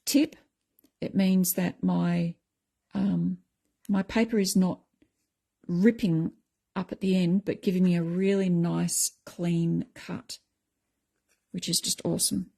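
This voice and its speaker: A middle-aged woman with a croaky voice, chatting casually as she explains a craft technique step by step. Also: medium pitch, dark tone. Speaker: craft tutorial host.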